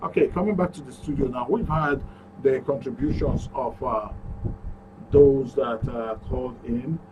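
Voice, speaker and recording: A man's voice: medium pitch, a little slow, distant mic, lo-fi sound, noisy background. A Nigerian man hosting a live call-in radio show from the studio, upbeat as he reads out messages and phone numbers. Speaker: radio talk show host.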